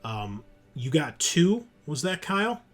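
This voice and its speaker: A man narrating a tabletop roleplaying game as game master, in an informal, halting way. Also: on the high side, very animated. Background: music.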